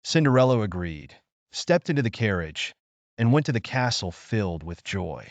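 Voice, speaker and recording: A man's voice: on the low side, big pitch swings, normal speed, no room sound, highs cut off. A man narrating a scripted fairy tale as a bedtime story for children.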